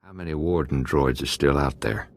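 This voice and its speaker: An actor playing a rough, easygoing gang thug in a video game, clipped and casual with cheerful menace. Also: male voice, extremely low pitch, normal speed, a little hiss or hum. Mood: casually menacing. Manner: clipped casual phrasing, deferential to the boss.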